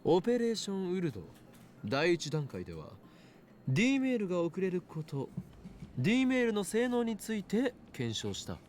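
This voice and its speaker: A man's voice: sits high, wildly swooping pitch, fast talker, darkish tone, a little hiss or hum. A man voicing a pompous young self-styled mad scientist in a visual novel, haughty and declamatory, with game audio. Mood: grandiose. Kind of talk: Japanese visual novel voice acting.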